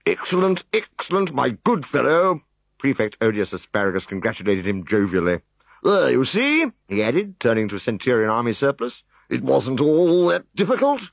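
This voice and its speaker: A man's voice: mid-range voice, sing-song, normal speed, creaky, dry recording, voice pushed up. A man voicing a jovial, pompous Roman prefect in a storybook reading. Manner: blustering, flustered.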